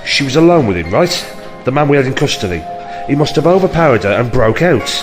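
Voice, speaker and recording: A man plays a blunt English detective in a 1960s audio drama. He sounds harried and colloquial, his pitch moving briskly up and down at a middle register. Music sits behind the voice. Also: very animated, close to an everyday voice. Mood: tense, defensive. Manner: brusque, colloquial, exasperated.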